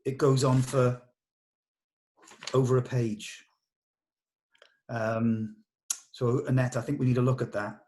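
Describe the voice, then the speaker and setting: A man leading a script table read as director, giving notes in a measured, diplomatic and explanatory way. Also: mid-range voice, level tone, dark tone, slight background noise. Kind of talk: giving notes to actors and writer.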